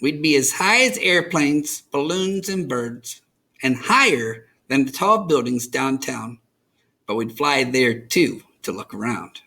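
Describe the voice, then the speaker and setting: A man reading a children's picture book aloud as an expressive storyteller, enunciating for young listeners. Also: mid-range voice, slow pace, vocal fry.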